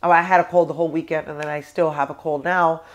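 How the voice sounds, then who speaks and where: This is a young American mother with a cold, her voice sitting low and a little congested. She chats to the camera in a loose, rambling way, full of ums and restarts as she shows off toys at home. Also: flat delivery, quick pace. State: congested with a cold, sore throat. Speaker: toy review vlogger. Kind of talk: casual chat to camera.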